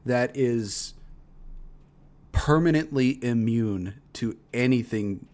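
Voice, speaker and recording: Male reaction streamer, mid-pitched, blunt and profane, casual delivery. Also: slow pace, a little mellow. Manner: blunt, profane ranting with frequent swearing.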